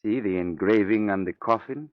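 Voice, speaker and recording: An actor playing an eerie, serene undertaker in a 1930s radio mystery, with morbidly courteous, unhurried speech. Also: male voice, on the low side, dry recording, natural voice. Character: eerie, serene male funeral parlor undertaker. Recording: muffled vintage 1930s radio recording.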